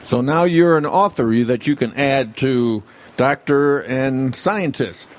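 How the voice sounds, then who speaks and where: A man's voice: medium pitch, slow, a little hiss or hum. A man hosting a radio interview who asks leading questions and sums up his guest's points.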